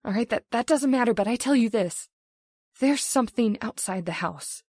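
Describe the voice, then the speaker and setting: An actress playing an intense, pleading middle-aged wife, long-suffering and frightened, in an old-time radio horror drama. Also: female voice, on the high side, animated, moderate pace, darkish tone, no echo, studio quality. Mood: frightened, insistent.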